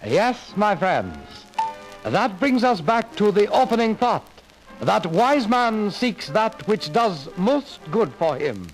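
A man playing a wise old sage in a 1950s sales film, speaking in a slow, solemn, proverb-like manner. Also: high-pitched, big pitch swings, faint music behind, full character voice, poor audio. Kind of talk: 1950s industrial film acting.

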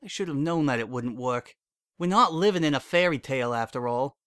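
A man voicing a heartbroken young female pony who speaks in a cold, flat tone that breaks into sobbing, in a dry recording. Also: medium pitch, fairly quick, clean tone, no echo, natural voice. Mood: flat, bitter.

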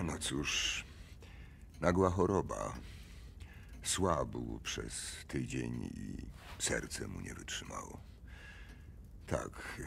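Very gravelly, courtly older-style gentleman. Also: rumbling low, slight background noise, full character voice. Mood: sympathetic, halting. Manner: halting, polite, full of hems and pauses. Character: courtly, hesitant male business partner bearing condolences. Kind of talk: audio drama acting.

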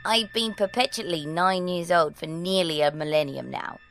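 Woman playing a precocious, creaky-voiced little girl. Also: lowish voice, moderate pace, fairly bright tone, faint music behind. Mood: prim, composed. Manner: proper, old-fashioned formal phrasing. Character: ancient girl in a nine-year-old's body, prim, precocious. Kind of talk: audio drama acting.

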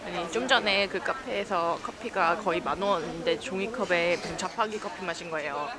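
A woman's voice: lowish voice, normal speed, a crowd in the background. A woman travel vlogger chatting and narrating casually, in a blunt, informal way. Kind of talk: casual vlog chat and narration. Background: music.

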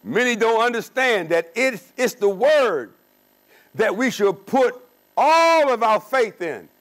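A man's voice: very high voice, big pitch swings, slow pace, fairly bright tone. A man in his sixties preaching a sermon in a church, emphatic, with call-and-response questions. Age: 60s. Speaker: pastor. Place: church sanctuary with stone wall.

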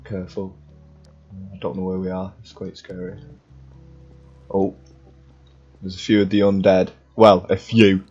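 A man's voice: low voice, completely flat delivery, a little slow, long pauses, darkish tone, breathy edge, highs cut off, faint music behind. A young man chatting casually as he improvises through a game roleplay. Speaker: gamer in roleplay series.

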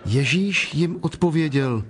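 A man's voice: a little high, expressive. A man narrating scripture from a script as part of a dramatized reading. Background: faint background music.

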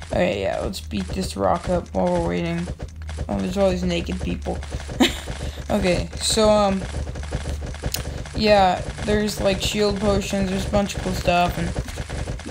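A young woman with a slightly raspy voice, giving casual commentary over her own gameplay and yelling at other players. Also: medium pitch, noisy background. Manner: excitable, yells at other players, quick laughs. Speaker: gaming channel host.

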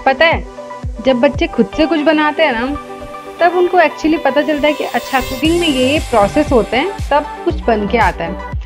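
Woman, voice-over, fairly high voice, chatty and explanatory, music behind. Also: moderate pace. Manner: chatty, explanatory, mixes Hindi and English. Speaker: voice-over narrator. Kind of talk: voice-over commentary.

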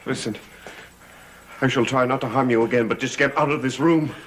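An actor playing a tormented, pleading master of a cursed house in a theatrical gothic soap, on an old TV soundtrack. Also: male voice, medium pitch, fairly even intonation, brisk, slight background noise, close to an everyday voice. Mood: shaken, brooding. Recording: old TV soundtrack under loud music.

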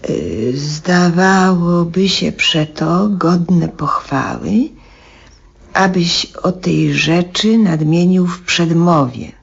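Very slow, husky, gentle woman. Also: on the low side, full character voice. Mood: grave reading. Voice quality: husky, creaky. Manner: very slow, hushed, deliberate. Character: gentle, grave woman caring for a dying man. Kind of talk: 1970s Polish radio drama acting. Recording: dull vintage radio recording.

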